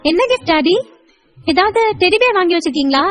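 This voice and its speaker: A woman voicing an eager, excited little schoolgirl in a chirpy, childlike way for an audio story. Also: very high voice, fast talker, voice pushed up. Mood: excited, happy. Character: excited little schoolgirl doting on her daddy. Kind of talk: Tamil audio story acting.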